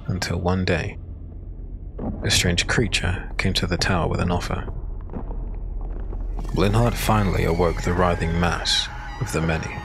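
Low-voiced man narrating dark fantasy. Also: gravelly. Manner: solemn, measured storytelling. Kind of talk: dramatic scripted dark fantasy audiobook narration. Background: music.

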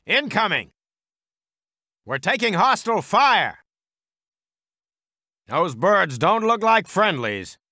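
An actor playing a gung-ho American World War Two heavy weapons soldier in a strategy game, with a clipped military delivery. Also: male voice, high voice, pushed and bright, no room sound, studio quality, full character voice. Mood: alarmed, shouting.

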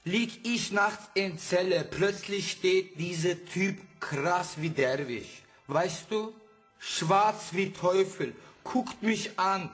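A man's voice: high voice, slow pace, fairly bright tone, faint music behind. A man playing a brash, protective, streetwise boy with speech in an audio drama. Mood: brash, cocky. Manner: slangy, blunt, punchy phrasing. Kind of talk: German audio drama acting.

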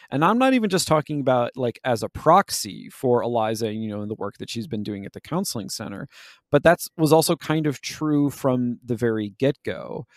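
Man, mid-pitched voice, podcast co-host, analytical, halting and self-correcting, close dry recording. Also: no room sound. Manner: hesitant, searching for words with self-corrections. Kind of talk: analytical discussion of a video game.

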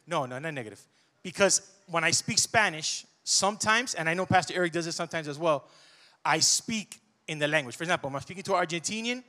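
Animated man preaching with a handheld mic. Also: a little high. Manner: conversational, animated preaching with asides. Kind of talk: sermon. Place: church stage.

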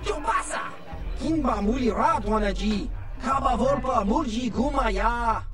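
Very high-pitched alien thug. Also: male voice, a little slow, fairly bright tone. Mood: threatening. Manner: clipped, babbling invented alien tongue. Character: menacing bug-eyed alien thug speaking alien gibberish. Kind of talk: video game creature dialogue. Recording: music playing behind.

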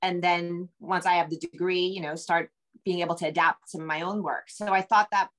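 A woman's voice: lowish voice, steady tone, brisk. Middle-aged American woman, rambling interview guest. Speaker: interview guest, novelist and screenwriter. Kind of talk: casual interview chat. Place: home room with bookshelves. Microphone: video call.

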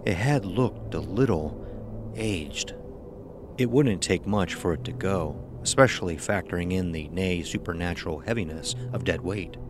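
A man's voice: low voice, moderate pace, noisy background. A man reading a scripted horror story as its narrator. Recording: music behind the voice. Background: music.

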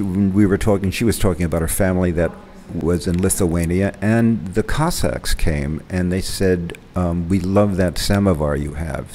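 A man, a gallery member and poet, musing casually about his work with frequent pauses and fillers. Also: low voice, a little mellow, a little hiss or hum. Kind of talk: casual artist statement.

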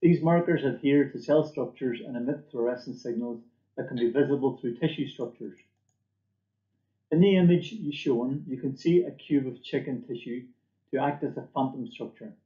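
A man delivers a scripted corporate presentation with a measured, formal delivery. His pitch sits in the middle range for a male voice. The sound is slightly dull and noticeably roomy, as if captured by a computer microphone in a small office. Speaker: company presenter. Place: small indoor office or lab room.

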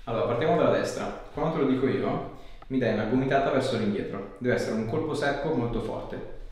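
A man's voice: medium pitch, quick pace, a little mellow. A man, a chiropractor talking a patient through treatment with short, casual instructions and encouragement. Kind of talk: casual hands-on patient consultation. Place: small treatment room, indoors. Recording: very echoey small room, faint noise.

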